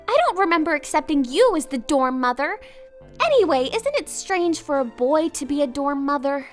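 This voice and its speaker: A woman's voice: high voice, lively intonation, moderate pace. A woman voicing a sulky, proud teenage schoolgirl in a wheelchair for a fan dub, pouting and defensive. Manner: pouty and defensive, stubborn. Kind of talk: fan dub of a visual novel. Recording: music playing underneath.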